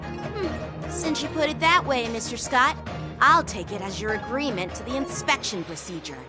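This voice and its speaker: A woman plays a spirited young knight from a video game. Her voice is high and very animated, earnest and firm, over background music. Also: normal speed, close to an everyday voice. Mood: firm, polite.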